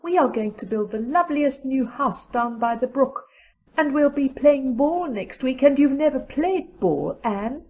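A woman's voice: sits high, expressive, unhurried, dark tone, husky, heavily acted, rough recording. A woman voicing a young schoolgirl, a plaintive and coaxing best friend, in an audiobook. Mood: eager coaxing. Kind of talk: audiobook character dialogue.

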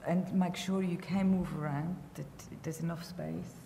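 A woman's voice: lowish voice, fairly even intonation, normal speed, creaky, warm tone. A woman filmmaker on a panel, answering hesitantly with lots of fillers, thinking aloud. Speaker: documentary filmmaker on a festival panel. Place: large hall at a panel table. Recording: reverberant hall, faint noise.

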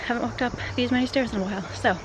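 A young woman with a cold, hoarse and congested, talking quietly to a phone held at arm's length while walking a forest trail. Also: on the high side, racing, noisy background. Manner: quiet, hushed and slightly hoarse. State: congested with a cold, voice partly lost. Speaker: vlogger. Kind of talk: casual vlog talk to camera.

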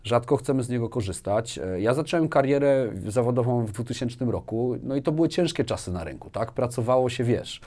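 Man, forties, medium pitch, conversational, interview guest, frequent tag questions, personal anecdotes. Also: expressive, brisk, few pauses, dark tone. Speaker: interview guest, business manager. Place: small furnished studio, indoors. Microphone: lapel mic.

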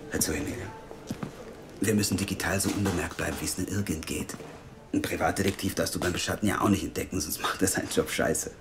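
An actor playing a calm, measured male team boss in an audio drama. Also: low voice, background noise. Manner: measured, quietly firm. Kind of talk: radio drama acting.

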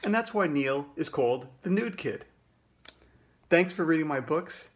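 A man's voice: on the high side, expressive, a little slow. A man in his sixties, a children's author, casually explaining trivia to kids.

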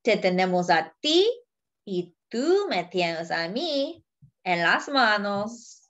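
A woman's voice: mid-range voice, very animated, slow pace, a bit bright, lo-fi sound. A woman reading a picture book aloud to young children, in simple phrases as a children's storyteller.

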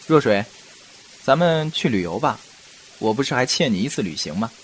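A man's voice: mid-range voice, big pitch swings, moderate pace, slight background noise, lightly acted. A man playing a devoted, eager young boyfriend in an audio drama, with a coaxing, doting manner.

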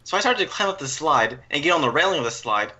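Young man, bright forceful voice. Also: a little high, lively intonation, quick pace. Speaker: gaming storyteller. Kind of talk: casual chat while gaming.

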